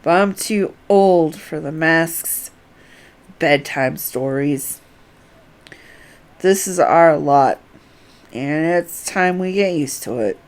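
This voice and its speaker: A woman puts on a weary old man from a fantasy game. He is gruff and dismissive, grumbling slowly as someone resigned to his lot. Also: low-pitched, lively intonation, pitched below the performer's own voice, exaggerated voice. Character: wary old male refugee, grumbling and resigned.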